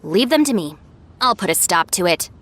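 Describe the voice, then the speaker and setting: Responsible, exasperated young minder, lively intonation. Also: female voice, lowish voice, normal speed. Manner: sighing, cautious, orderly. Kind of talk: animated video game voice acting.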